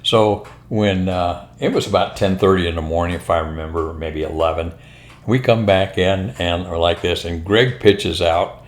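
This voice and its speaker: An older American man, a former pilot, telling anecdotes in a casual, unhurried, rambling conversational way. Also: low-pitched. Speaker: retired military and airline pilot telling stories. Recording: roomy, echoing room sound.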